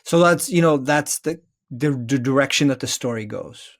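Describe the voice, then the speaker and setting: A man's voice: medium pitch, moderate pace. A middle-aged man talking reflectively as a podcast guest, earnest, building his points in emphatic, repeated phrases. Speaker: guest, children's story author. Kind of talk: reflective podcast interview conversation.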